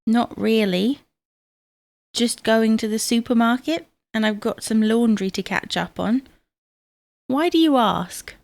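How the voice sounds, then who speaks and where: A sarcastic, bossy wife played by an actress in a domestic audio comedy. Her voice sits fairly high and is recorded close and dry. She chides briskly, with care underneath. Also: vocal fry, darkish tone, no room sound. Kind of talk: audio comedy drama acting.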